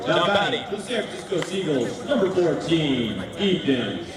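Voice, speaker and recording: Male stadium PA announcer, high and swooping, slow batter intros, echoing from far off. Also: sing-song, over a noisy crowd. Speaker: stadium public address announcer. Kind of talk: ballpark PA announcement. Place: ballpark loudspeakers. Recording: roomy PA from far off.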